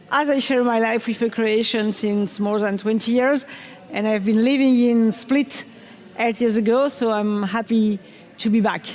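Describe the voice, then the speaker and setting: A middle-aged woman with a French accent presents a technical talk at a conference, upbeat and quizzing the crowd now and then. Her voice sits high. The sound carries the long reverb of a big hall. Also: a little mellow. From France. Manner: enthusiastic, asks the audience questions. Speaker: conference speaker. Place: large conference hall on stage.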